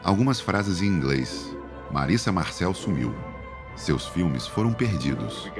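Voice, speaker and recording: A man with a Brazilian Portuguese accent narrates an audio description in a very deep voice. His pitch moves a lot as he reads in a plain, descriptive way. Music plays clearly underneath. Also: very animated, unhurried. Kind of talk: scripted narration.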